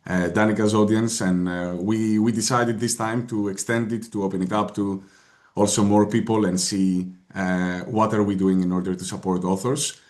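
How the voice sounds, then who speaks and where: A man's voice: lowish voice, steady tone, normal speed, darkish tone. A man from Greece with a Greek accent, presenting in a webinar over a video call, talking hesitantly with many filler sounds and restarts. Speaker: guest presenter.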